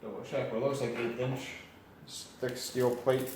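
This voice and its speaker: A man giving an unscripted hands-on walkthrough, musing aloud in a tentative, halting way. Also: medium pitch, level tone, darkish tone. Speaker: radio repair hobbyist presenting. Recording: roomy echo, background noise, varying distance.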